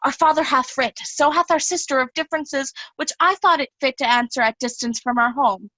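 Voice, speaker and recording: A woman's voice: high voice, a little fast, sharp tone, no echo, poor audio. A woman playing a poised noble princess in Shakespearean reader's theatre, speaking with formal courtesy. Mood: courteous, persuasive.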